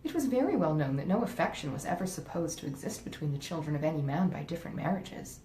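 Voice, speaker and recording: A woman reading a classic novel aloud in expressive audiobook narration. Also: fast talker. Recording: roomy echo, faint rumbling noise.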